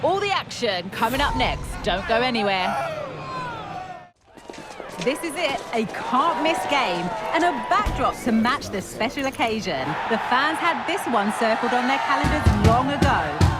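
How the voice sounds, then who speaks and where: Woman doing hyped football tv commentator for a video game, really animated n dramatic, music playing behind her. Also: on the high side, normal speed, a loud crowd, close to an everyday voice. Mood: building excitement. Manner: dramatic, emphatic delivery. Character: eager female TV football commentator hyping a big match. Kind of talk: scripted pre-match TV sports commentary for a video game.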